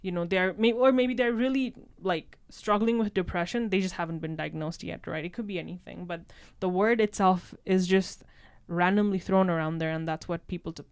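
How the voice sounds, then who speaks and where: A woman's voice: on the low side, normal speed, few pauses, clear, resonant tone, no room sound. A woman social worker talking casually on a podcast, often tagging her points with "right?". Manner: conversational, leans on "right?" tags.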